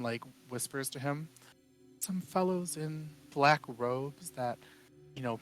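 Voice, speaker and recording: A man's voice: on the high side, a bit bright, rough recording. A man playing a tabletop roleplaying game, casually speaking for and narrating his character.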